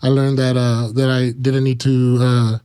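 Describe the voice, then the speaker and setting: Male interview guest, thirties, American accent. Smooth voice, little pitch movement, halting casual storytelling with many filler pauses. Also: flat delivery, mellow. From Kansas and Mexico. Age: 30s. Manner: halting, frequent "you know" pauses.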